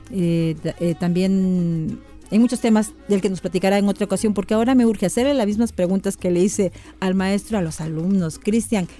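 Chatty woman hosting, mellow voice. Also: mid-range voice. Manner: effusive, chatty, sends greetings. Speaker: host. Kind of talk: interviewing guests on a farming show.

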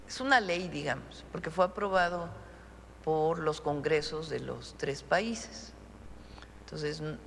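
A Mexican woman holding a press conference, answering questions in a measured, deliberate way with frequent pauses. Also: lowish voice, gravelly, a bit bright, big-room sound, a little hiss or hum.

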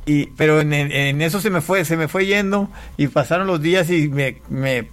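A man from Panama, an interview guest, giving a personal testimony in a casual, halting way with repeated words. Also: a little high, a bit bright.

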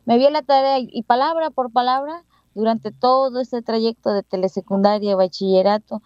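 A woman's voice: a little high, moderate pace, no room sound, lo-fi sound. A Mexican woman schoolteacher in her thirties with a Mexican Spanish accent, telling her story in an interview with many fillers and restarts. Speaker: bilingual schoolteacher.

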